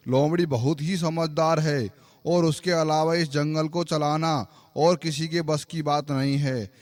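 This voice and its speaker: A man voicing a solemn, fair-minded lion king in a cartoon fable, speaking in a measured, authoritative way. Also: on the high side, fairly even intonation, darkish tone, natural voice. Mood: solemn, deliberate. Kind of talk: animated moral story acting.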